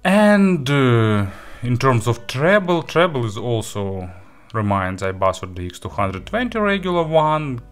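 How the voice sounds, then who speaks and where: A man giving a spoken audio gear review, phrasing things haltingly but with enthusiastic emphasis. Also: mid-range voice, big pitch swings, smooth.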